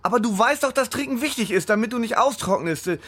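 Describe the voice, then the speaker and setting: A man's voice: very high voice, lively intonation, quick pace, fairly bright tone, heavily acted. An actor playing a patient grown son coaxing his elderly mother in a naturalistic radio drama.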